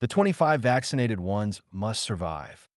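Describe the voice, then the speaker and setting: Man reading as a confident scientist, low-pitched and animated. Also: moderate pace, no room sound, clean studio sound. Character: earnest, confident male French scientist, pleased and resolute. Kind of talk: quoted dialogue in an audiobook biography.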